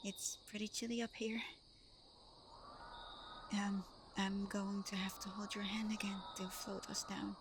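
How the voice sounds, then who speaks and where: A woman playing Santa's gentle, bashful adopted daughter in an ASMR roleplay, soft-spoken, hesitant and apologetic. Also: medium pitch, flat delivery, unhurried, pauses often, noisy background, close to an everyday voice. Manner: soft-spoken, hesitant, breaking into little giggles.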